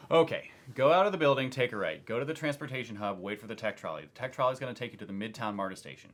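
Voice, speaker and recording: A man in a scripted skit, rattling off long, detailed directions without pausing. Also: medium pitch, lively intonation, a bit bright. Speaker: office staff in acted skit. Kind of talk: acted skit, giving rapid directions.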